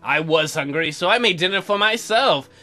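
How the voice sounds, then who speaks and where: A let's play host reads visual novel text aloud and cuts in with sarcastic, crude jokes. The voice is bright and animated, with soft music in the background. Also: mid-range voice, normal speed. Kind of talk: reading game text with joking commentary.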